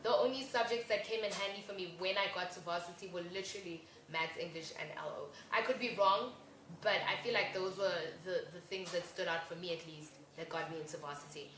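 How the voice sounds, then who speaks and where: A woman's voice: lowish voice, brisk. Young South African woman, chatty show co-host, echoey room, faint hiss. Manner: lively, chatty, agreeable. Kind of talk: casual chat.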